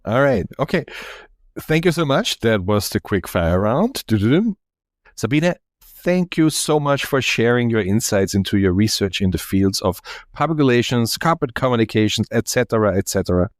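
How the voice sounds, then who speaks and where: A man's voice: mid-range voice, very animated, moderate pace, no room sound, studio quality. A man with an Austrian accent hosting a podcast interview, upbeat and friendly, with frequent ums. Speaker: podcast host.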